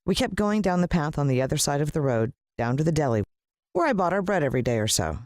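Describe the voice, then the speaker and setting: Animated American woman reading a children's story. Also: low voice, quick pace, no echo, studio quality. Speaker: audiobook narrator. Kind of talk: expressive scripted children's story narration.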